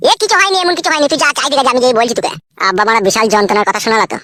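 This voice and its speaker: A man's voice: very high-pitched, sing-song, rapid-fire, pushed and bright, no room sound, full character voice. A man voicing a cheeky, foul-mouthed, henpecked village husband in a comedy cartoon, with a rural West Bengal accent. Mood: flustered. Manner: brash, colloquial.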